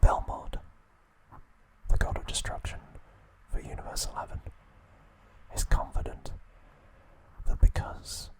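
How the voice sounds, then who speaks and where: Guy whispering asmr narration real slow, short hushed phrases, very deliberate. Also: low-quality recording. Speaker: ASMR host.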